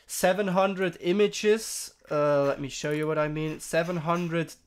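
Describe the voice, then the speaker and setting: A young man who is a bit sick, walking through a software tutorial in a rambling, casual way with many fillers and restarts. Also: a little high, steady tone, measured pace, smooth. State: sick, throat-clearing voice. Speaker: tutorial streamer. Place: home room.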